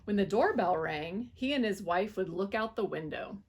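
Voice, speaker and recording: A woman reads a children's picture book aloud in a clear, medium-pitched voice. She tells the story in an expressive, animated way for young listeners. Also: moderate pace.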